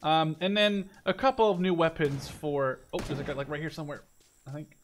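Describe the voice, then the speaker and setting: A man's voice: fairly high pitch, steady tone, fairly quick, a little hiss or hum. A man giving casual, rambling gameplay commentary, informal and given to mild swearing. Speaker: gamer and video host.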